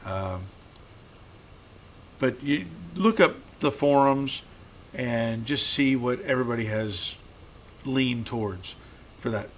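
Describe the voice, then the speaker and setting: Guy in his garage on a live stream, mid-low voice, voice getting rough, stumbles and repeats words, faint noise behind. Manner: relaxed, halting, repeats words often. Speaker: host. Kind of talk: live-stream chat answering viewer comments.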